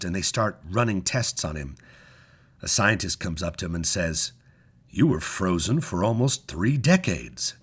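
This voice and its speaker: Deep-voiced man reading a story aloud. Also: slightly rough. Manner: measured, expressive storytelling with deliberate pauses. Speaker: story reader. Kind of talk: scripted dramatic story narration.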